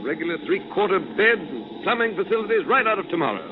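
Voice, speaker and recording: Chipper British adventurer, tinny old radio. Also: male voice, sits high, very animated, fast, background noise. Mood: cheerful, amused. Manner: jaunty, exclamatory upper-class slang. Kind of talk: 1940s radio adventure acting. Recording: tinny old radio recording, music behind.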